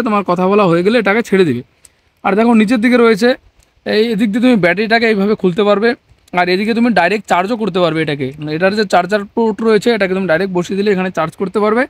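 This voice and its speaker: Fast, high-pitched man. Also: no echo. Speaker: vlogger and police officer. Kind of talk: explanatory gadget demonstration to camera. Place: open rocky field in hills, outdoors.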